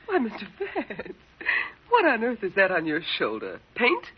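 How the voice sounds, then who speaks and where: A woman playing a polite, curious secretary in a 1940s radio drama, courteous and expressive. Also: mid-range voice, unhurried, breathy edge, exaggerated voice. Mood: helpful, surprised. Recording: muffled, hissy old radio recording.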